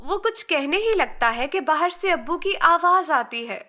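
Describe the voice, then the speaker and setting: A woman's voice: very high-pitched, expressive, a little slow, bright, forceful tone. A woman narrating a scripted moral story for children as a storyteller.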